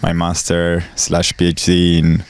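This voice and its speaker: A young man from Argentina, a graduate student introducing himself outdoors on a handheld mic, calm and hesitant with ums. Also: deep voice, measured pace, clean tone. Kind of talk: on-camera introduction. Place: outdoors by a lake.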